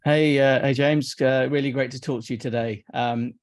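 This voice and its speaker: A man's voice: mid-range voice, steady tone, normal speed. A man in his fifties from Iraq, a guest in a casual interview, who talks hesitantly, often repeating words and restarting sentences. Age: 50s.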